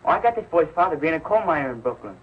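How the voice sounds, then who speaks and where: Eager sitcom son, high-pitched and lively, naive, muffled noisy vintage recording. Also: male voice. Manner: quick, earnest, naive questioning. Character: eager, pestering schoolboy son. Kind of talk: 1940s television sitcom acting. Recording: muffled old television soundtrack, heavy noise.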